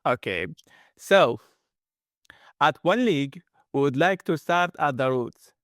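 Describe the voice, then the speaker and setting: A man's voice: on the high side, animated, takes it slowly, no echo, studio quality. A man hosting a fireside chat, reading prepared questions with some nonstandard grammar. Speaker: host.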